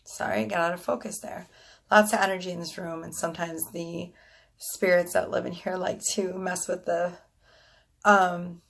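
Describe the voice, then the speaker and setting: A woman's voice: fairly low pitch, level tone, normal speed, vocal fry, a bit bright. A woman with a scratchy, congested voice reading tarot casually to a phone camera, rambling with many ums and whispered asides. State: congested from allergies. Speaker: tarot reader.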